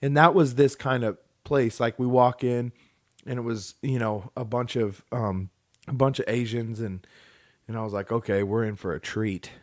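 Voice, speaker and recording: A man's voice: medium pitch, steady tone, normal speed. A man in his thirties co-hosts a casual podcast and is still getting over the flu. He talks in loose, rambling runs, throws in jokes and laughs often. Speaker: podcast co-host. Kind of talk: casual chat.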